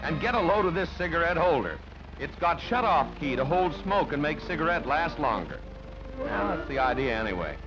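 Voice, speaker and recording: Lively high-pitched male newsreel narrator, muffled. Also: normal speed. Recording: band-limited old newsreel, music underneath. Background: background music.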